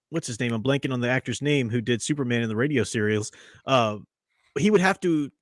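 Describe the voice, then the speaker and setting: A man's voice: medium pitch, a bit bright, dry recording, studio quality. A man hosting a casual review chat, greeting live chat viewers as he talks. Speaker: podcast host.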